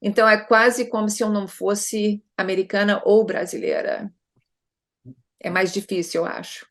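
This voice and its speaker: A woman's voice: mid-range voice, little pitch movement, moderate pace. Woman, middle-aged, from Brazil, consultant, conversational interview answers, frequent tag questions. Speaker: consultant guest.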